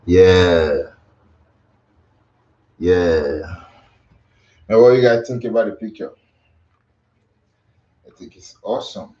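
A man's voice: low-pitched, slow, pauses often. A young man chatting casually to viewers while flexing, filmed on a phone in a small home kitchen. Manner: relaxed, loose, chatting while posing. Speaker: bodybuilding vlogger. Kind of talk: casual chat to live viewers. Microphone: phone camera across the room. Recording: roomy, distant, dull phone audio.

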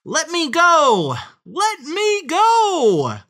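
A woman puts on a little storybook piglet, polite and crafty. The voice jumps high and swoops about, pleading and hesitant in a bright tone. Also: wildly swooping pitch, drawn-out words, full character voice. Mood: panicked. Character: small, polite, crafty young male piglet.

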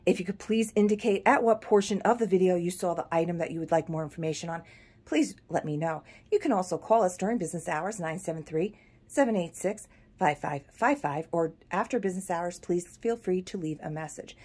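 A middle-aged woman with a fairly low voice walks through her antique shop, chatting casually about each piece and its price. She films on a handheld phone indoors among the glass shelves. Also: fairly quick, darkish tone, slightly rough. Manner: chatty, pricing items as she goes. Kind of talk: casual video shop tour. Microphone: handheld phone camera.

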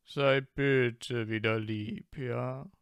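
Guy playing snooty playful wizard boy in a fan audio story, slow n creaky, super dry sound. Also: mid-range voice, steady tone, dry recording. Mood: wheedling. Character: teasing, mock-haughty teenage wizard boy, playfully sweet. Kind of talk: fan audiobook character acting.

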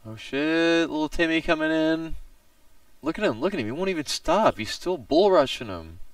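A man's voice: fairly high pitch, lively intonation, moderate pace, no echo, far from the mic. A young man casually commenting on a game he plays at home, joking and reacting. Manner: playful, joking, reacts with exclamations. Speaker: gaming streamer. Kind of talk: casual let's-play commentary.

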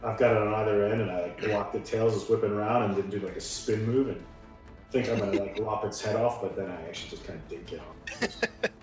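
A man's voice: on the low side, steady tone, a little fast, faint music behind. A man playing a tabletop roleplaying game, chatting casually about his moves and rolls. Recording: very echoey, distant from the mic.

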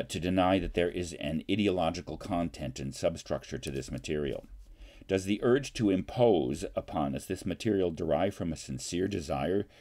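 An older man in his sixties with a very low, soft-edged, dark voice reads a prepared statement aloud. His phrasing is formal and deliberate, with emphatic stresses in the manner of a college professor. He speaks at a desk into a computer microphone in a home study. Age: older, 60s. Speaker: college political science professor. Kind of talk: reading an open letter aloud with brief asides. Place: small home study lined with books. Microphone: webcam or computer mic at desk distance.

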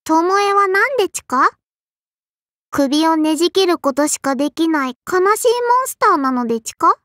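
Little sparrow spirit girl for an anime game, super high kiddy lisp, prim n bossy, dry studio sound. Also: moderate pace, fairly bright tone, no room sound. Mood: scolding. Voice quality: childlike, lisping. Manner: polite but scolding, quirky verbal tic. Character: small sparrow-spirit girl, strict, prim, proud cook and swordswoman. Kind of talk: anime game character voice lines.

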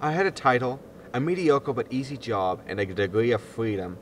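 A man's voice: medium pitch, lively intonation, normal speed, slight background noise, natural voice. A man playing a defensive, exasperated disgraced spaceship captain, indignant and grumbling, in a sci-fi comedy audio drama. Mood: grumbling, resigned.

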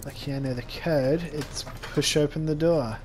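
A man doing sarcastic, crude video game commentary in a deadpan way. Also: fairly high pitch, normal speed. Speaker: gameplay commentator voicing the protagonist's thoughts. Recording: game ambience and noise behind voice. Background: video game action sounds.

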